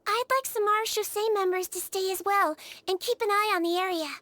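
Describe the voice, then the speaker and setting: A woman voicing a small, gentle nonhuman nurse girl in a game, speaking softly, patiently and matter-of-factly. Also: extremely high pitch, brisk. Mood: requesting. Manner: soft, patient, matter-of-fact. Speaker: head nurse. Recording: game audio with music behind.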